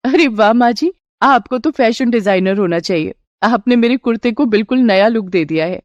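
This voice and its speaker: A woman voicing a polite, easily amazed young daughter-in-law in a Hindi cartoon moral tale, respectful and expressive. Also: fairly high pitch, moderate pace, dry recording, highs cut off, natural voice. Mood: delighted. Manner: respectful, wide-eyed, expressive. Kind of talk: cartoon storybook character voice.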